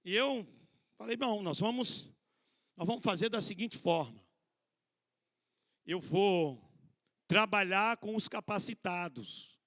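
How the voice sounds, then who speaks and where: A man with a strikingly high voice, a gravelly rasp and a sharp, bright edge gives a conversational sermon at a church conference. He is a middle-aged pastor who calls the congregation brothers and leans on rhetorical questions. He pokes gentle fun at himself along the way. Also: lively intonation, slow pace, pauses often. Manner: addresses listeners as brothers, rhetorical questions, self-deprecating humor. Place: church hall.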